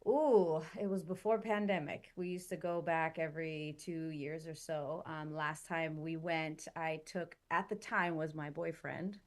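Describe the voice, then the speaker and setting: A woman born in Chile and raised in Southern California, with a fairly low voice. She chats animatedly as a guest and fills her pauses with ums. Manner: chatty and animated, many fillers. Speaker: guest actress. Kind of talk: casual interview chat.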